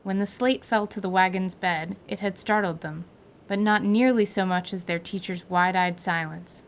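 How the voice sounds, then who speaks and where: A woman's voice: medium pitch, fairly even intonation, moderate pace. A woman reading scripted narration for a fantasy audio drama.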